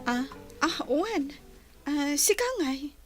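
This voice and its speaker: A woman acting a soft-hearted office worker getting over a breakup in an Ilocano radio comedy drama, with a Filipino Ilocano accent. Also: high-pitched, expressive, slow, faint music behind. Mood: curious. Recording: loud hum and noise behind.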